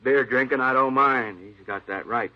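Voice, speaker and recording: An actor playing a fussy, officious store boss in an old radio drama, emphatic and prone to repeating himself. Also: male voice, medium pitch, normal speed, close to an everyday voice. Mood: disapproving, indignant. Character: fussy, disapproving male store boss, talkative with police. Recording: tinny, narrow-band old radio recording.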